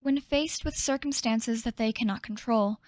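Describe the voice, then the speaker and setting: A woman playing a solemn storyteller, narrating a fantasy game legend in a measured way. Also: fairly high pitch, darkish tone, no echo, close to an everyday voice.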